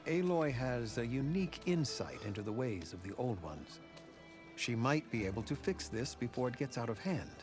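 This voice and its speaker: An actor playing a proud male desert clan warrior who talks in a measured, earnest way, in video game dialogue. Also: medium pitch, sing-song, music in the background, close to an everyday voice.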